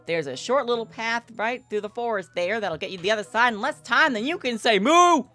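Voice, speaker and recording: A woman's voice: fairly high pitch, lively intonation, fairly quick, pushed and bright, faint music behind. A woman voicing a cheerful, hearty male farmer with a folksy country drawl in a visual novel read-aloud.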